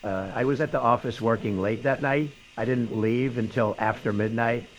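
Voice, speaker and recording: A man's voice: medium pitch, fairly even intonation, normal speed, lightly acted, rough recording. Man playing evasive police suspect, hesitant denials, acted interview recording, slight noise behind. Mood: evasive, uneasy. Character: evasive male suspect answering police questions.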